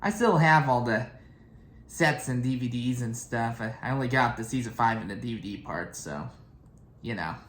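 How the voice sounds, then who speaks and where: A young adult man giving casual, rambling fan commentary as a video host, with a halting delivery. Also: medium pitch, a bit bright, slight background noise. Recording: echoey room.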